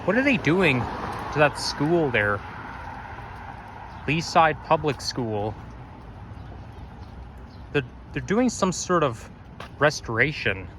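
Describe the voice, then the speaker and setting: A young man from Toronto, slightly hoarse with a frog in his throat, rambling and correcting himself as he narrates a street walk outdoors. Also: fairly high pitch, big pitch swings, slow, long pauses, fairly bright tone. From Toronto, Canada. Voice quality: strong, slightly growly. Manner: rambling, self-correcting, musing aloud. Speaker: walking-tour vlogger. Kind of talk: casual walking narration. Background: faint passing traffic.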